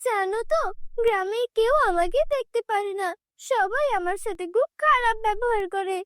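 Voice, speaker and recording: A woman's voice: very high-pitched, moderate pace, fairly bright tone, no room sound, clean studio sound, pitched above the performer's own voice. A woman voicing a lonely, kind-hearted and naive village girl in a cartoon, with an earnest, plaintive manner. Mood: sad, plaintive.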